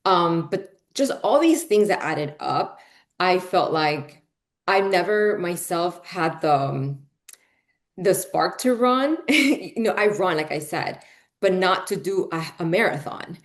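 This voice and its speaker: A woman's voice: on the low side, animated, slow pace. A woman in her forties, born in Peru and raised in Miami, hosting a casual interview and talking in a chatty way full of fillers. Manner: chatty, lots of fillers, frequent "right?" and "you know". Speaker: podcast host.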